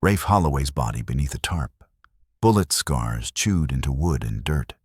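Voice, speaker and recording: A man narrates a Western tale in an extremely low, rumbling voice. The delivery is measured and solemn, like a dramatic audio story. The recording is close and dry, with no room sound. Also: lively intonation, breathy edge, dry recording.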